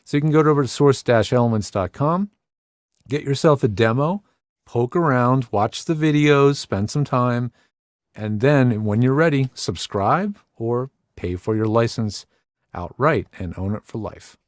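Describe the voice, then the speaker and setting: A man co-hosting a talk show, reading a sponsor spot and chatting in a relaxed, easygoing way with frequent laughs. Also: mid-range voice, a little slow, dry recording, studio quality. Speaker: co-host. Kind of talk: casual show chat and sponsor read.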